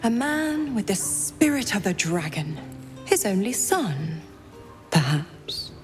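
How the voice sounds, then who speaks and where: A haughty, sly schemer woman in a fantasy game. She speaks very deliberately at a mid pitch, theatrical and teasing. Faint music sits behind her. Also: sing-song. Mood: mocking, sly. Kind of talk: video game voice acting.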